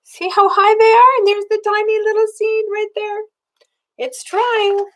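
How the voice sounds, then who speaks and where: A woman's voice: extremely high pitch, unhurried, a little hiss or hum. An older woman reading a children's picture book aloud as a grandmother, expressively, with questions in tones meant for a small child. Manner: expressive, asking the young listener simple questions in child-directed tones. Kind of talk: children's storybook read-aloud.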